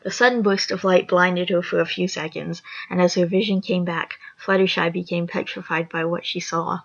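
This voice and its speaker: A young woman with a raspy voice, reading a horror fan story aloud, rambling and apologetic with chatty asides. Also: fairly low pitch, flat delivery, normal speed. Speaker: story reader. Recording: lo-fi dull recording.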